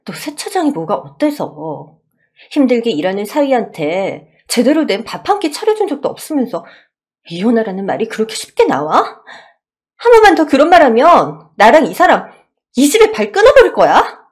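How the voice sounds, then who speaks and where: A woman's voice: a little high, sing-song, moderate pace, voice pushed up. A woman voicing a protective young wife in a radio story drama, reproachful and emphatic as she defends her husband. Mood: indignant.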